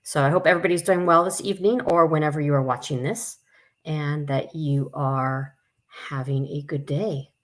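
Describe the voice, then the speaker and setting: A woman's voice: low voice, fairly even intonation, normal speed. A woman hosting a live story time for children, reading aloud with informal, chatty asides. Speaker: camp staff story time host.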